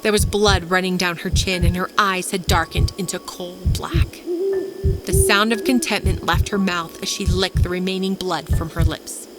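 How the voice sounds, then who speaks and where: A woman's voice: on the low side, fairly even intonation, moderate pace, low-quality recording. A woman storyteller reading a scripted horror tale for a podcast. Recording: loud music under the voice.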